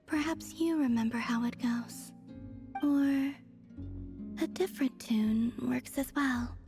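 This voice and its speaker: This is an enigmatic young moon maiden from an anime game. Her voice is high, soft and breathy, her phrasing gentle, formal and unhurried, with faint music behind it. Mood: serene, softly curious. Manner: unhurried, formal, dreamy, understated. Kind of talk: anime game character dialogue.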